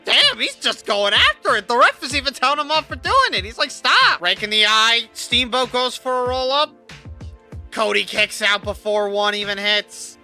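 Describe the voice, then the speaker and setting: An excitable man with a high, very bright and sharp voice, calling a wrestling video game with lots of swearing and laughter. He drops to quick mumbles, then jumps up to near yells. Faint game music plays underneath. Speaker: gaming YouTuber. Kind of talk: casual wrestling game commentary.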